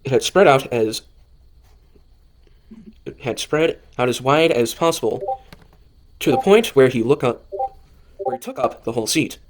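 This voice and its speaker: A man reading a scripted story as narrator, haltingly, often stumbling and rereading phrases. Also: medium pitch, expressive. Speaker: narrator of an amateur audio drama.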